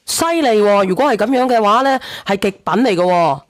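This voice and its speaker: A woman's voice: mid-range voice, lively intonation, fairly quick, no echo. A woman with a Cantonese accent hosting a call-in chat, casual and blunt with colloquial interjections. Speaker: call-in show host.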